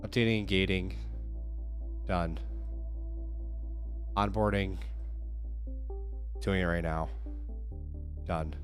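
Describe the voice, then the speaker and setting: A man with a hoarse, fading voice chatting casually on a livestream, upbeat and talking straight to his viewers. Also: low-pitched, fairly even intonation, slow pace, pauses often, soft background music. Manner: upbeat, hype-driven, addresses viewers directly. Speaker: livestreaming app developer.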